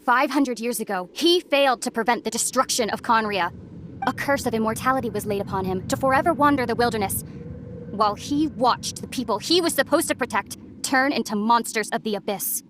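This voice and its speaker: A woman's voice: on the high side, brisk, a bit bright, a little hiss or hum, lightly acted. A woman playing a solemn, resolute young princess and long-lost sister, speaking earnestly and with measure in a dramatic video game. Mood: grave. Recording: clear game music behind the voice.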